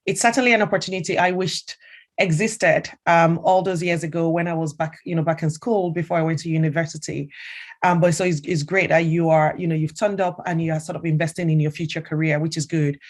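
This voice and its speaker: A woman's voice: low-pitched, fairly even intonation, a little fast. A middle-aged woman from Nigeria with a Nigerian accent, telling students about her career in a chatty way, with many fillers and restarts. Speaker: senior strategic advisor.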